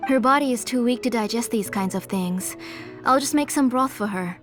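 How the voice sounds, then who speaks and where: Woman playing a gentle, higher-voiced mother. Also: moderate pace, music in the background, close to an everyday voice. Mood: caring. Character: gentle, caring mother carrying quiet sorrow. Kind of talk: video game voice acting.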